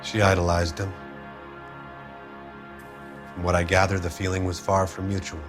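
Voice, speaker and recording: Guy playing a weary 40s fella, real low voice, subdued, faint music behind. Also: completely flat delivery, moderate pace, lightly acted. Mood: wistful, subdued. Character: downbeat, world-weary male friend of a murdered woman. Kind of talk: 1940s crime game dialogue acting.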